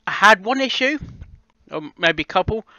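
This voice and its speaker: A man chatting casually and unscripted in a reaction vlog, halting and often repeating and rephrasing himself. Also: high voice, lively intonation, bright, forceful tone, dry recording, muffled recording. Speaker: amateur voice actor reviewing a fan fiction audio reading.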